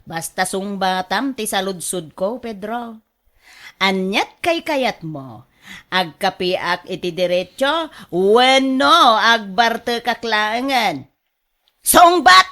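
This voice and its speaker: A woman's voice: mid-range voice, animated, slow pace, sharp tone. A woman acting as a restless, nagging wife in a radio drama, full of sighs and exclamations. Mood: demanding. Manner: exclamatory, sighing, querulous. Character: restless, nagging wife fretting and bickering with her husband.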